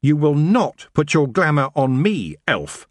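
A man's voice: mid-range voice, very animated, moderate pace, dry recording. Man voicing a stern, commanding young witch. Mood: stern warning. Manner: firm, scolding. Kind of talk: audiobook character voice.

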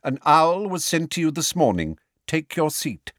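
A man's voice: medium pitch, huge pitch swings, a little slow, fairly bright tone, no echo, studio quality, voice pushed up, heavily acted. A man voicing a cold, pompous middle-aged male official in an audiobook, declaiming formally in a ringing, officious way. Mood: cold, reproachful.

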